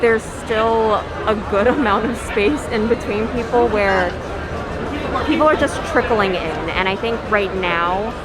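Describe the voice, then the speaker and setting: A woman vlogging from her artist alley table at a convention, chatty and rambling, her voice a bit hoarse from talking all day. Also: medium pitch, measured pace, low-quality recording. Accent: Californian. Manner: chatty, rambling, with light laughs. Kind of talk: casual vlog commentary. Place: busy convention hall. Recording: loud crowd noise. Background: loud crowd chatter.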